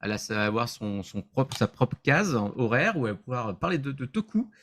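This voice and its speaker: Man, mid-pitched voice, show regular, casual chat, explanatory, often rephrasing himself. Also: sing-song, fairly quick, fairly bright tone. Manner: loose, self-correcting, explanatory. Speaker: show regular announcing upcoming appearances.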